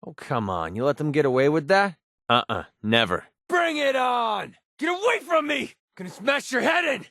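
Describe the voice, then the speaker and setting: A man's voice: sits high, big pitch swings, moderate pace, bright, forceful tone, no room sound, clean studio sound, full character voice. A man voicing a cocky, sardonic young mercenary gunman in clipped video game companion lines. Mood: dismissive, wry, then aggressive, urgent.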